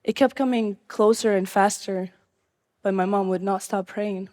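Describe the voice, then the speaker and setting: A young woman from Syria telling her own life story live, in an earnest, measured way. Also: medium pitch, fairly even intonation, darkish tone.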